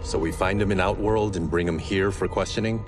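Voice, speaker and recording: A man's voice: sits low, steady tone, a little fast, over music, lightly acted. An actor playing a serious, brooding young swordsman in a video game cutscene, terse and dry. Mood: stern. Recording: game audio with ominous score.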